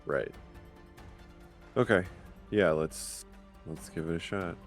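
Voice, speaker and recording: A man's voice: deep voice, takes it slowly, faint music behind. A man chatting casually as a player in a tabletop roleplaying game. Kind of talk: casual gaming chat, sweary.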